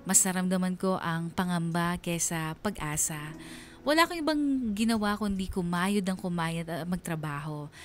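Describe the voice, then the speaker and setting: A somewhat low-pitched young woman narrates as a dutiful daughter and nurse in a radio drama, acted by a woman. She is earnest and reflective. There is faint noise in the background. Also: lively intonation, normal speed, lightly acted.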